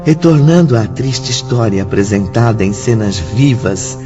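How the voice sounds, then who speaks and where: Slow dramatic male narrator. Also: lowish voice. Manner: dramatic, addresses the listener. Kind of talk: scripted radio drama narration. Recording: dull lo-fi sound under loud music.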